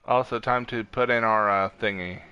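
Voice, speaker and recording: A man's voice: fairly low pitch, fairly even intonation, moderate pace, lo-fi sound, a little hiss or hum. A man streaming a game, switching between casual joking chat and reading the game text aloud as narration. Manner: joking, self-deprecating.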